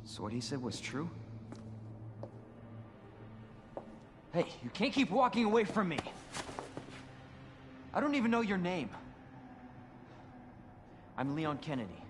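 Earnest young cop, reverberant. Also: male voice, fairly high pitch, sing-song, moderate pace, pauses often. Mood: earnest, insistent. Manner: earnest, questioning, polite. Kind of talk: dramatic video game acting. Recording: long reverb, noisy ambience.

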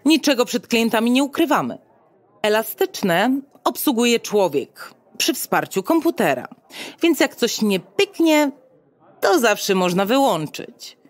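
An actress playing a brisk, officious receptionist at a futuristic state clinic, speaking in formal, bureaucratic sales patter in an audio drama. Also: female voice, on the high side, very animated, no room sound. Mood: businesslike. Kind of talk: Polish audio drama acting.

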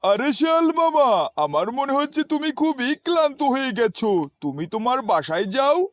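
A man voicing a sly, flattering, scheming crow in a children's cartoon story, with a crafty, wheedling manner. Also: very high voice, brisk, dry recording.

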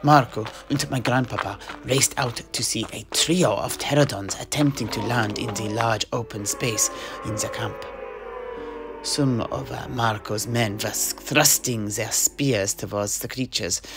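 A man's voice: mid-range voice, fairly even intonation, a little slow, gravelly. Male mercenary knight raconteur played by an actor, fantasy audio play, hesitant conversational delivery, faint music bed. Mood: dramatic recounting. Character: male mercenary knight recounting his grandfather's voyage in a tavern. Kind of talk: in-universe storytelling audio play. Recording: music behind the voice.

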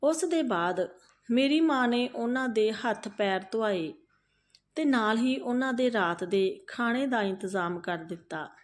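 A woman narrating a moral folk tale as a storyteller, phrasing it deliberately with frequent pauses. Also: a little high, expressive.

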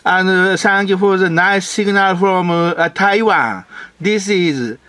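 High-pitched Japanese man on ham radio. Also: slow pace, bright, forceful tone, no echo. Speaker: amateur radio operator. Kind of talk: ham radio contact chat. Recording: noisy room with radio hiss.

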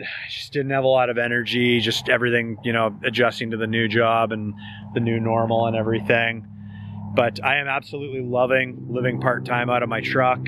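A man's voice: medium pitch, fairly bright tone, a little hiss or hum. A man in his thirties chatting casually and ramblingly to a handheld camera, as an outdoor vlogger. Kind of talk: casual outdoor vlog chat. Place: outdoors beside and inside a parked truck. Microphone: handheld camera at arm's length.

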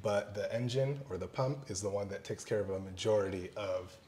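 A young man, a firefighter-paramedic, calmly explaining station life while cooking, with polite "yes, ma'am" replies. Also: on the low side, fairly quick. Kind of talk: casual cooking chat. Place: large fire station kitchen, indoors. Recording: clear background noise.

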